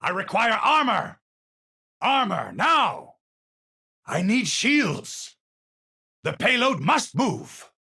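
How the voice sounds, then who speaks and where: An eccentric, unstable male scientist for a video game. His fairly high voice swings enormously in pitch and has a husky grain. He speaks formally and theatrically, halting erratically as if his mind is drifting. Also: very animated, bright, forceful tone, exaggerated voice. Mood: urgent, commanding. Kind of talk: video game character voice lines.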